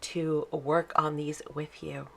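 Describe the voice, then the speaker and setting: Craft host: woman, low froggy morning voice, chatty how-to delivery, some vocal fry. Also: little pitch movement, slow pace, faint music behind. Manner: chatty, friendly step-by-step instruction with fillers. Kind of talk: casual craft tutorial. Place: home craft desk, early morning.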